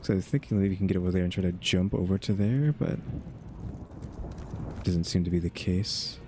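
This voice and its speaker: A man's voice: deep voice, fairly quick, noisy background. A man giving casual, rambling game playthrough commentary, musing aloud with questioning asides. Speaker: gaming streamer.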